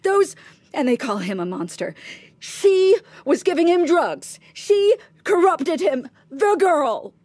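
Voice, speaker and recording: A woman's voice: extremely high pitch, very animated, slow pace, darkish tone, no echo, full character voice. An actress playing a middle-aged mother in a radio drama, ruthless and scheming in her son's defence, with insistent, scornful, clipped questions. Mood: furious, bitter.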